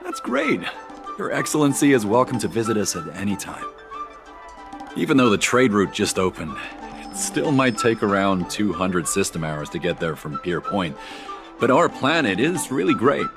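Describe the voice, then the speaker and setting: An eager, courteous envoy from a faraway world in a video game. His fairly low voice swings expressively with earnest pride, over clearly audible music. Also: very animated, normal speed. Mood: proud, eager. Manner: earnest, eager, formally polite. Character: earnest, proud male envoy from a distant planet. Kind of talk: scripted video game dialogue.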